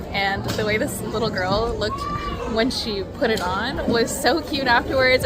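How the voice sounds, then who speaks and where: A young woman vlogging casually and upbeat about her craft sales, with lots of ums. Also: on the high side. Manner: chatty, upbeat, many ums. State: losing her voice from a cold. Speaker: crochet vlogger. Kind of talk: casual vlog chat. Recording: clear background music.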